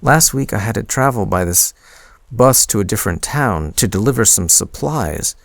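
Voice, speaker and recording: A man's voice: fairly low pitch, lively intonation, vocal fry, no room sound. A man playing a shy young man confiding in his crush, hesitant and intimate, in a boyfriend roleplay audio. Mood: tender, nostalgic. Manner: hesitant, halting, soft-spoken confiding.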